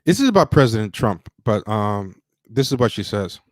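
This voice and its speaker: A man with a fairly low voice hosts a casual livestream. He talks through news commentary loosely, stopping, restarting and wandering between points. The sound is dry, with very little room echo. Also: very animated. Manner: rambling, halting, self-interrupting. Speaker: livestream host.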